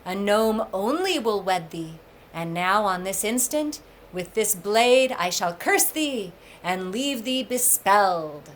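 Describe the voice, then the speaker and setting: A reckless storybook messenger played by a woman, declaiming threats and curses in grand archaic phrases, a man full of menace. The delivery is forceful and theatrical, over audible background noise. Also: mid-range voice, expressive, slow pace. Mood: cursing. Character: reckless male messenger, menacing young spell-caster.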